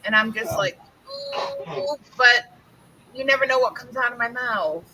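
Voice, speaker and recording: A woman hosting a casual live stream video chat, chatty and quick to exclaim. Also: high-pitched, huge pitch swings, background noise.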